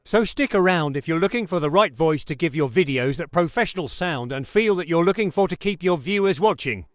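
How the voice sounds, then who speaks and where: A man casually narrating a tutorial as its host, in a relaxed, chatty way. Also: fairly high pitch, fairly quick, no room sound, clean studio sound. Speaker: tech video host.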